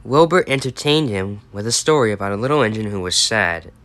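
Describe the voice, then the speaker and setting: A man's voice: lowish voice, lively intonation, a little fast, fairly bright tone, no echo. A man with an American accent reading a bedtime story and chatting about it as he goes. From United States. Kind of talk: storytelling and chat.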